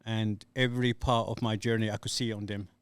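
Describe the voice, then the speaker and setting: Middle-aged guy from somalia telling his story, smooth clear voice, lots of uhs, dry close sound. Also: on the low side, level tone, a little fast, no echo. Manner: earnest, hesitant storytelling with many fillers. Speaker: guest. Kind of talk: casual podcast interview.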